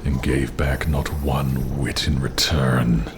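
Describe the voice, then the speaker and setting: Very deep, cold, haughty super-soldier. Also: male voice, flat delivery, background noise. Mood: cold contempt. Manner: grave, deliberate, condescending. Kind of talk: dramatic video game cutscene acting.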